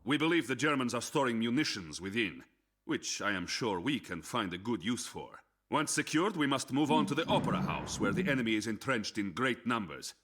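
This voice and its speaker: Forceful Soviet commander, bright voice. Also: male voice, medium pitch, sing-song, brisk. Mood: stern, businesslike. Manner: forceful, exhorting, formal. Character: resolute Soviet army commander giving a battle briefing. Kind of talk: scripted military briefing narration.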